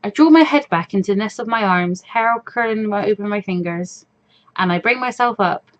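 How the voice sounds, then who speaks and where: A woman's voice: mid-range voice, moderate pace, close to an everyday voice. A woman voicing an anxious, introspective fourteen-year-old girl in a visual novel, with a hesitant, musing manner. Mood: hesitant, curious. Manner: hesitant, musing inner monologue. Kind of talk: visual novel read-aloud.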